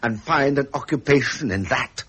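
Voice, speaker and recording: An actor playing a wry middle-aged prince with an upper-class British accent, in period radio drama style. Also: male voice, mid-range voice, normal speed, a bit bright, slightly rough. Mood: aggrieved. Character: frustrated, genial middle-aged prince and uncle. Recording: muffled vintage radio recording.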